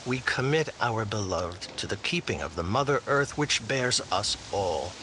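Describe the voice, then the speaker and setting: A man's voice: lowish voice, animated, measured pace. A man playing a grave minister delivering a florid funeral eulogy in a radio drama. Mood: grave, consoling. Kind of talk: solemn oratory, radio drama acting. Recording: clearly noisy background.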